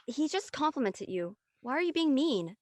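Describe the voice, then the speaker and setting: A woman plays a studious high school girl who is stressed and easily exasperated. Her voice is fairly high and closely recorded, serious and testy, as in an audio drama. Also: big pitch swings, moderate pace, dry recording. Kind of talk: online dramatic table read.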